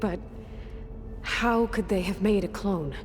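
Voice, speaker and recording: A woman's voice: mid-range voice, slow, lightly acted. A woman playing a determined, sceptical young hero in a video game, asking questions bluntly and with hesitant breaks. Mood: probing, thoughtful. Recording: long hall reverb, game music behind. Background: music.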